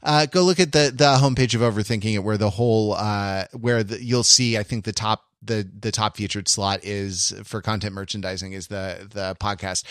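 Man chatting haltingly, close dry sound. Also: on the low side, a bit bright, dry recording. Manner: halting, meandering, searching for words. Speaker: podcast host. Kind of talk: casual discussion of television and pop culture.

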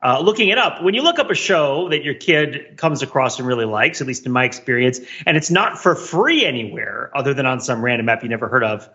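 A middle-aged American man with a bright, forward voice, co-hosting a casual podcast. He rambles through his points like an eager storyteller, and the audio is a little dull. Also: mid-range voice, animated, brisk, few pauses. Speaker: podcast co-host.

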